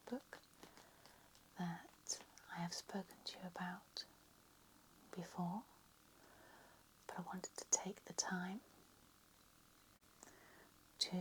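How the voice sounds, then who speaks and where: Lady whispering real slow, flat and low, bit croaky today. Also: low-quality recording. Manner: slow, soft, unhurried whisper. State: slightly croaky voice. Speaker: ASMR lecturer. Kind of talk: whispered ASMR lecture roleplay.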